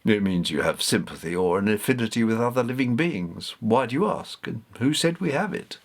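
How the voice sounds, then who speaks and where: A man voicing a thoughtful, patient grandfather in a storybook, explaining things in a measured manner. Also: fairly low pitch, animated. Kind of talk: storybook character voice.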